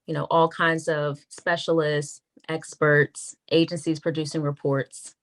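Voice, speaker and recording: Woman acting as a wary lady prosecutor in a drama read, kinda low voice, measured n confiding. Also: little pitch movement. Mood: troubled, frank. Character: wary, frank female assistant US attorney. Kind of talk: naturalistic script table read.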